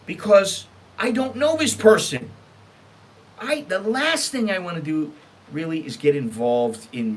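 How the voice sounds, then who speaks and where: An American man hosting a casual storytime show, blunt, sweary and exasperated as he reads out and comments on emails. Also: fairly high pitch. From United States. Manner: emphatic, exasperated. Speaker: online storytime show host.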